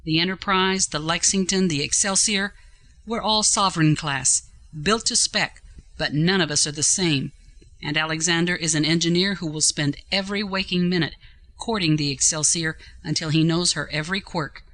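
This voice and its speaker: A woman playing a composed, principled starship captain in a science-fiction audio drama, speaking in a measured, articulate and authoritative way. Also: fairly low pitch, a bit bright, close to an everyday voice. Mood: earnest conviction.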